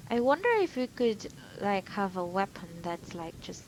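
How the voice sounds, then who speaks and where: A woman's voice: mid-range voice, big pitch swings, moderate pace, a little hiss or hum, poor audio. A woman giving casual, informal playthrough commentary, musing aloud in a giggly way. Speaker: gaming video host.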